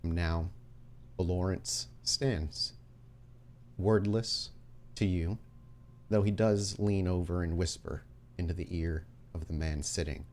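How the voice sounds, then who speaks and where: A man running a tabletop roleplaying game as game master, telling the story in a dramatic, deliberate way with pauses. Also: sits low, steady tone, a little mellow, slight background noise.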